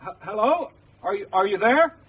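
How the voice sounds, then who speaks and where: High-pitched, probing radio presenter. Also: male voice, animated, moderate pace, a bit bright, recorded from a distance, a little hiss or hum, low-quality recording. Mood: awkward, probing. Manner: formal, insistent. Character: pushy, probing male American current affairs radio presenter. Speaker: actor in a radio drama. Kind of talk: radio drama acting as a live broadcast presenter.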